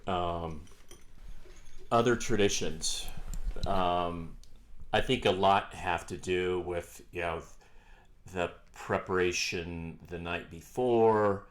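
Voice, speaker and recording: Very deep, slow-talking man. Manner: slow, dry joking with many "um"s. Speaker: podcast co-host. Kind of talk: casual chat.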